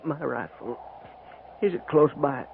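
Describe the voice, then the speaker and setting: An actor playing a dying cavalry trooper and deserter seeking redemption, with folksy frontier speech, in 1950s radio western style. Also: male voice, fairly high pitch, a little slow, gravelly. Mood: weary, confessional. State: weak, dying. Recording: noisy, narrow-band 1950s radio recording.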